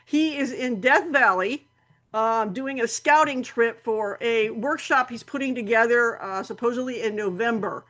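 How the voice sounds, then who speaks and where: Woman, club host, fairly high voice, meandering announcements full of fillers. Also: a little slow, a bit bright. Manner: rambling, many fillers and restarts. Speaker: camera club meeting host.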